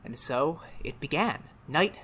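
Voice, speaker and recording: A woman's voice: low voice, lively intonation, unhurried, a bit bright. A woman playing a tormented, unravelling murderer in a retro radio horror drama, speaking in feverish, melodramatic broken phrases. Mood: brooding. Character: tormented murderer Daryl, haunted, unravelling, insisting on sanity. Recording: noisy radio drama recording.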